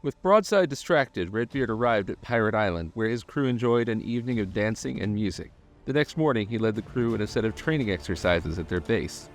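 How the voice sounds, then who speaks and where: A man reading scripted documentary-style narration in a measured, articulate storytelling manner. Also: lowish voice, animated. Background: background music.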